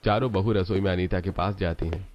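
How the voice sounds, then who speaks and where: A man's voice: sits low, steady tone, brisk. A man reading scripted storybook narration. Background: background music.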